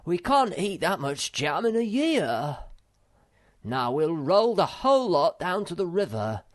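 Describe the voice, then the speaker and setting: A man voicing an earnest young male troll who leads his friends, in a raised, brighter voice. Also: mid-range voice, sing-song, unhurried, voice pushed up.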